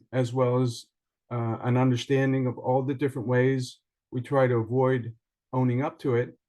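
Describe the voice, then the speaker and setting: Measured, articulate man introducing himself. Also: mid-range voice, darkish tone. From Iceland, raised partly in other countries. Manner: measured, articulate, formal wording. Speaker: participant, journalist and writer. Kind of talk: prepared self-introduction, as if reading a statement. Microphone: online video call.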